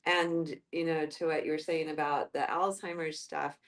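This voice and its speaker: Woman, low pitch, explanatory, thoughtful, conversational writer in discussion. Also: little pitch movement, normal speed. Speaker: writer discussing own work.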